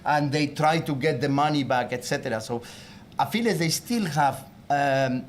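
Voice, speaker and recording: A man from Spain with a Spanish accent, speaking on a panel in a casual, animated way. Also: on the high side, normal speed, slight background noise. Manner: animated, acts out quoted voices.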